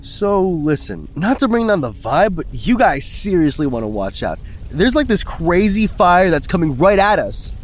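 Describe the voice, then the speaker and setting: An actor playing a flippant, self-serving young male vlogger in a comedic horror audio drama, slangy, excitable and sarcastic. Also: sits high, moderate pace, few pauses, exaggerated voice. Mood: casual, alarmed.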